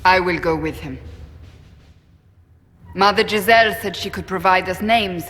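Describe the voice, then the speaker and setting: An actress playing a resolute, commanding advisor at a war council in a game, speaking firmly and deliberately. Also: female voice, lowish voice, fairly bright tone. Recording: game audio with long hall reverb and music.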